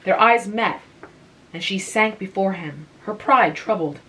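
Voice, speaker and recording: A woman giving a calm, relaxing reading of a literary short story. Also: medium pitch, poor audio. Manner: slow, calm, unhurried. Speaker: reader of literature.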